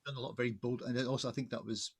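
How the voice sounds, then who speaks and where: A man hosting a casual interview, chatty and halting, often restarting his questions. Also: medium pitch, little pitch movement, breathy edge. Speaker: host, magazine editor interviewing an artist. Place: online video call.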